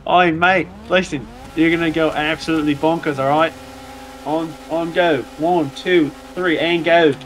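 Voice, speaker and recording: Man attempting a higher-pitched Aussie film director. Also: fairly even intonation, a little slow, natural voice. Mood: bossy. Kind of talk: improvised roleplay banter in a driving game. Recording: faint car noise behind voice.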